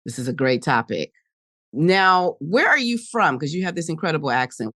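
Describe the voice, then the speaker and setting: A woman's voice: sits low, very animated, normal speed, a bit bright, no room sound, studio quality. A woman hosting a podcast interview, upbeat and enthusiastic with her guest. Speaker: podcast host.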